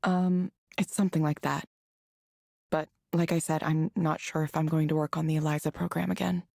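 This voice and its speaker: A woman's voice: low-pitched, level tone, normal speed, creaky, darkish tone, no room sound, studio quality. An actress playing a reserved, self-doubting woman in a visual novel, understated and a little hesitant. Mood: downbeat, reserved.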